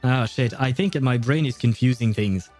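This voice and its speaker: Mellow, rambling man chatting on a livestream. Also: medium pitch, moderate pace. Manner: rambling, hesitant, chuckles often. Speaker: livestreamer playing a game. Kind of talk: casual chat with viewers while gaming. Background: faint game music.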